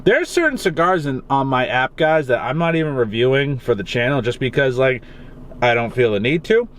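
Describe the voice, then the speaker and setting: A young man with a Massachusetts accent talks loosely and rambles, dropping the odd curse as he goes. His voice sits in an ordinary middle pitch. He is recorded in his car, and a faint hum of traffic runs underneath. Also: animated, brisk, fairly bright tone. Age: young adult. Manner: rambling, casual, swears freely. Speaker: cigar reviewer. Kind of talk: casual vlog chat. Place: in his car on a rainy day.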